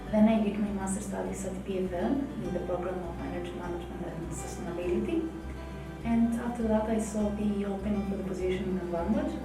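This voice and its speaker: Woman from greece, young, lowish voice, echoey room, clear music behind. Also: recorded from a distance. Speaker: researcher. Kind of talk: interview to camera.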